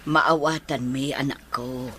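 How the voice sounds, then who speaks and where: A woman's voice: a bass voice, fairly even intonation, takes it slowly, a bit bright, slight background noise, heavily acted. A woman playing a caring, fretful older mother in an Ilocano radio drama, with an Ilocano accent. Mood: gentle, reassuring.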